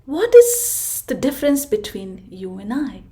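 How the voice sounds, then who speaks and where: Woman putting on a small tortoise, sweet, unsure, plaintive, mellow, storybook. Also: medium pitch, sing-song, measured pace.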